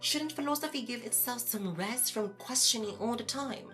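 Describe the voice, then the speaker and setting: A woman putting on a curious, easily awed young friend in an audio drama podcast. The voice sits fairly high and sounds breezy and playfully over-amazed, with light music behind it. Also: normal speed, close to an everyday voice.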